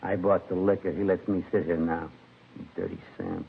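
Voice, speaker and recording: An actor playing a hungover American laborer in a 1950s radio crime drama, slangy, aggrieved and rambling. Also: male voice, deep voice, level tone, moderate pace, gravelly, slightly rough, phone-quality sound, exaggerated voice. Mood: disgruntled, groggy. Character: grumbling, hungover male laborer in jail. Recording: thin, faintly noisy vintage radio recording.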